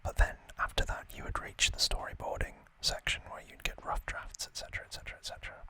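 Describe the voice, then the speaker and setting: A man hosting an ASMR video, whispering throughout as he explains things off the cuff, with thoughtful, hesitant pauses. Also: pushed and bright, no echo. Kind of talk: whispered ASMR, unscripted explanatory unboxing talk.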